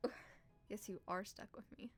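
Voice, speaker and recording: A woman live-streaming a visual novel, reading aloud and reacting casually in a playful, expressive, teasing way. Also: moderate pace, faint music behind.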